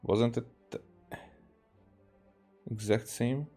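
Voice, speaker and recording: A man from Hungary, a native Hungarian speaker, doing casual gameplay commentary and reading text aloud haltingly. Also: medium pitch, fairly even intonation, mellow, husky, faint music behind. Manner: halting, re-reads stumbled words. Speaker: game streamer.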